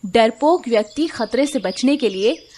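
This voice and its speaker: Female narrator for children's fables, fairly high voice, faint birdsong and noise behind. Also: expressive, measured pace, warm tone. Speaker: story narrator. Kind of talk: scripted children's moral-story narration.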